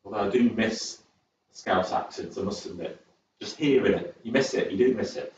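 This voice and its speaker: A man's voice: lowish voice, moderate pace, a little mellow, a little hiss or hum. Northern english guy running a chair exercise class, chatty and upbeat, far from the mic in a big echoey room. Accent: northern English, Southport. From England, born in Birmingham, raised in Southport. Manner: chatty, upbeat, rambles between cues, counts down reps. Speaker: fitness instructor. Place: large echoey room at a community sports centre. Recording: very echoey, distant and dull.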